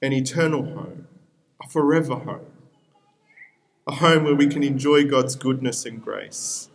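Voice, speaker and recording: A man's voice: medium pitch, a little slow. A young man from Peru whose first language is Spanish, preaching a sermon as pastor in an earnest way with repeated appeals.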